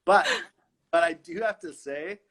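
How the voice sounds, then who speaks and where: Playful middle-aged man, slightly high voice. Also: huge pitch swings, a little slow, vocal fry, fairly bright tone. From Los Angeles. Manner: playful, teasing, laughs often. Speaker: fashion designer guest. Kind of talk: casual video-call chat. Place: his home.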